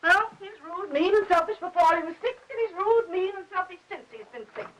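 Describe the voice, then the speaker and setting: A woman's voice: very high voice, expressive, a little fast, a bit bright, slight background noise. An actress playing a haughty, indignant woman in an old-time radio drama, speaking with cutting, emphatic scorn. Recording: old radio, slightly distant from mic.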